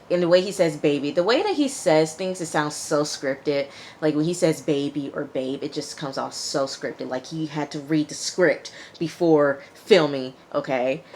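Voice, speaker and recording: Woman w low voice doing a chatty tv recap, lots of opinions, noisy background. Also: darkish tone. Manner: conversational, opinionated, acts out quotes. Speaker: TV show reviewer. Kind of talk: casual reality TV recap commentary.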